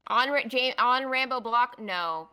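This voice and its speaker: A woman's voice: fairly high pitch, a little slow, pushed and bright, smooth. A woman giving improvised testimony as a witness in a roleplay trial, insistent and pushing back on questions.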